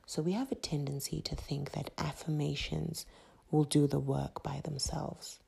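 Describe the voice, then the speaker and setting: A low-voiced woman from Zimbabwe hosts a solo motivational podcast. Her delivery is emphatic and repetitive, like a preacher driving a point home. Her tone is dark and gravelly, with a husky, breathy edge. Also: flat delivery, normal speed. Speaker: podcast host.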